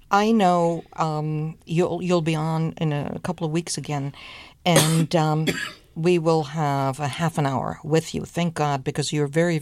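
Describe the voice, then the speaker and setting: A woman's voice: sits low, a little mellow. A woman hosting a casual interview show, interjecting and hesitating as she repeats her words. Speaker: show host.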